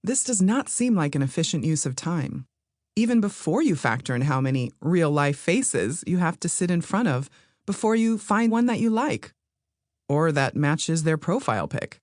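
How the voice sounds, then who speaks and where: Low-pitched woman narrating, lively intonation. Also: no room sound, studio quality. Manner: measured, explanatory, addresses the listener. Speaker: author reading own audiobook. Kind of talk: scripted nonfiction narration.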